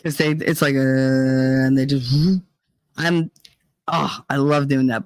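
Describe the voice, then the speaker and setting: A middle-aged woman with a deep, chesty voice, hosting a livestream in casual, profane chat while reading viewer comments. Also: dry recording, rough recording. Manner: chatty and profane, rambling with many fillers. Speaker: livestream host.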